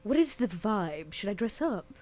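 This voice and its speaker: A woman playing a tabletop roleplaying game, casual and halting, often questioning as she talks in character. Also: medium pitch, sing-song, a little mellow.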